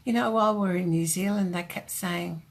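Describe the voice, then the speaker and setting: A woman's voice: fairly low pitch, fairly quick, creaky. An older woman with an Australian accent, hosting a rambling, chatty craft live stream and greeting viewers by name.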